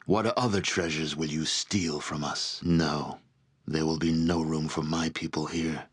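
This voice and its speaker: A barbarian chieftain with a very low, weighty voice. He is proud and embittered, delivering formal, deliberate speeches in the grave manner of a fantasy video game leader. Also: steady tone, breathy edge, exaggerated voice. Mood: bitter accusation. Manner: solemn, formal, deliberate oratory. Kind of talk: scripted fantasy game voice acting.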